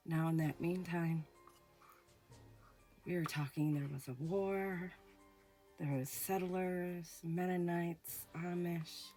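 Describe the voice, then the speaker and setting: A woman hosting a ghost story show, telling a personal haunting story slowly with hushed pauses, her voice a little off from a recent illness. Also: lowish voice, steady tone, soft background music. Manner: slow, hushed, dramatic pauses. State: recovering from illness, voice sounds off. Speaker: storyteller host. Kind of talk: spoken ghost story narration.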